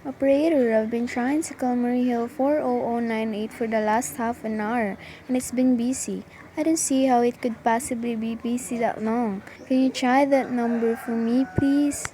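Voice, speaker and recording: A woman's voice: a little high, fairly even intonation, normal speed, mellow, slight background noise, close to an everyday voice, poor audio. A woman playing an anxious, frail and insistent housewife in a radio play. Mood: fretful. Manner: fretful, insistent. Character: anxious, frail housewife alone at home, complaining. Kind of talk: radio drama acting.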